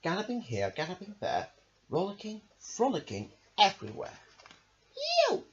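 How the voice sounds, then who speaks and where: A man's voice: medium pitch, huge pitch swings, a little slow. A man reading rhyming children's stories aloud in a rhythmic sing-song way, drawing out the ends of phrases. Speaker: amateur storybook reader. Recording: dull lo-fi recording, faint noise.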